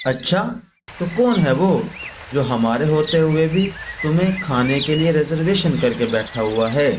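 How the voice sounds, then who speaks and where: A man's voice: on the high side, expressive, measured pace, slight background noise. Man doing a menacing, greedy cartoon eagle. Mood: taunting. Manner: menacing, gloating, taunting. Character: greedy, threatening male eagle, predatory gang boss.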